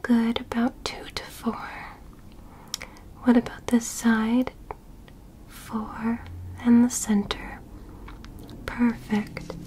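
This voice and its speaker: Casting: young woman as a calm, curious female alien doctor for ASMR roleplay, breathy whispering, very unhurried, gently clinical. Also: on the high side, rough recording. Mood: calm, instructing. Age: young adult. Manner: slow, gentle, clinical, politely instructing. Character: calm, curious female alien medical examiner studying a human. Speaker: ASMR performer. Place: small bedroom set, indoors. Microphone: close microphone.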